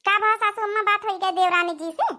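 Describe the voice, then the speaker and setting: Woman as a high-pitched, placating Awadhi daughter-in-law. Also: fairly even intonation, brisk, sharp tone. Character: dutiful, peacemaking elder daughter-in-law in a village home. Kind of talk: cartoon comedy dialogue.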